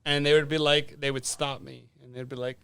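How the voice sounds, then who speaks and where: A man's voice: medium pitch, little pitch movement, fairly quick, a bit bright, smooth, no room sound. A man speaking as a podcast guest, telling personal stories casually in an informal, sweary way and often checking in with "right?".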